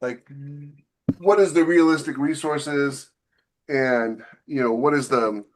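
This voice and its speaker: A man's voice: medium pitch, takes it slowly, rough recording. A man talking casually as an author and panelist in a podcast discussion.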